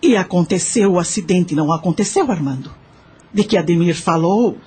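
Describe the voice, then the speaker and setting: A woman's voice: on the low side, normal speed, warm tone, a little hiss or hum, close to an everyday voice. A woman with a Brazilian accent playing a grieving elderly aunt in an audio drama, solemnly and insistently demanding the truth.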